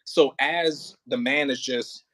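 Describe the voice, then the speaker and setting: Guy coaching an actor thru a script, informal, thinks out loud, repeats himself, no room sound. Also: mid-range voice, slow pace, no echo. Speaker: audio play director. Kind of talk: casual rehearsal coaching.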